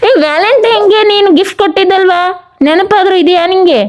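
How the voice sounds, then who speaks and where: Insistent high-voiced woman making a prank call. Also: a little fast. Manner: teasing, insistent.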